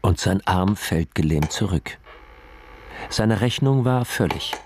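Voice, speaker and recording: A man's voice: low voice, lively intonation, slow pace, noisy background. A man reading scripted literary narration as the narrator of a radio play. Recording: music clearly behind the voice.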